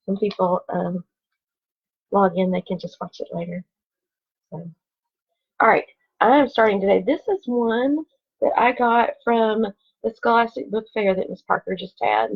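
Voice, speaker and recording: A woman's voice: medium pitch, fairly even intonation, unhurried, vocal fry, long pauses, breathy edge. Woman teacher reading aloud, dull lo-fi sound. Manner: chatty asides between expressively read story lines. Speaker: second grade teacher. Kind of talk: read-aloud to children online. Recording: dull, lo-fi home recording.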